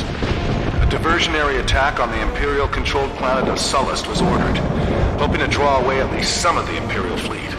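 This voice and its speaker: A man plays a battle-weary rebel veteran narrating a war game. He tells his story gravely and in measured phrases, at a middle pitch. The voice rings in a large reverberant space under loud music. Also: natural voice. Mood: tense, grave.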